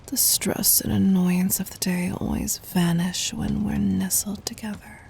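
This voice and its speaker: A woman playing a gentle, dominant demon queen in an ASMR roleplay, teasing and intimate, in a breathy whisper. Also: medium pitch, flat delivery, a little hiss or hum. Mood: tender, content. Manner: slow, intimate, commanding.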